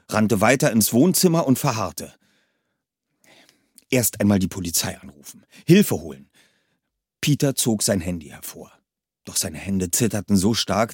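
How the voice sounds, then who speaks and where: A man's voice: lowish voice, darkish tone, breathy edge, dry recording, clean studio sound. A man reading a suspense story aloud in a tense, dramatic narration style. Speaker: reader. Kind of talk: audiobook narration.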